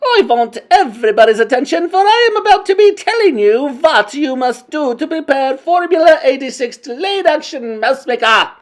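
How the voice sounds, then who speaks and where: A woman voicing the menacing Grand High Witch, barking commands with stilted phrasing. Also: sing-song, normal speed, few pauses. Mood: commanding.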